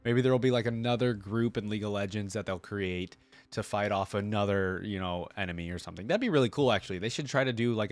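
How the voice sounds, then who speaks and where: A man's voice: lowish voice, no echo. Man giving rambling, casual reaction commentary. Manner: rambling, thinking aloud, frequent "like" and "right?". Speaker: reaction video host. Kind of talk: casual unscripted commentary to viewers.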